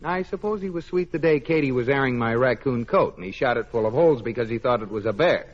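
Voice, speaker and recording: A man's voice: medium pitch, expressive, quick pace, heavy background noise, lightly acted. A man acting a teasing American husband in a 1940s radio sitcom, dry and bantering. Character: wry, teasing husband, a banker. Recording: muffled old radio recording.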